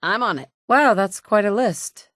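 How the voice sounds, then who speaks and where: A woman's voice: mid-range voice, lively intonation, unhurried, fairly bright tone, dry recording. An actress in her thirties voicing a game's player heroine, a determined mother searching for her son, with dry wit and a blunt, curt edge. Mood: determined, wry. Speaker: player character. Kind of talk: game dialogue lines. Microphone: close studio mic.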